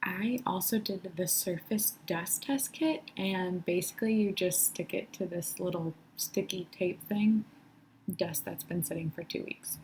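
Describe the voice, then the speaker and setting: A woman with an American Midwestern accent and a slightly husky voice, giving a casual product review to viewers. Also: on the low side, measured pace, a little mellow, slight background noise, poor audio. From Michigan, USA.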